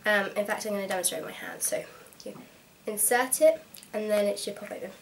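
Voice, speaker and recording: A woman's voice: medium pitch, a little fast, slight background noise, low-quality recording. Chatty young woman with a cold. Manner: chatty, frank and practical, with frequent ums. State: slightly hoarse from a cold. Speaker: vlogger. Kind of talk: casual instructional vlog.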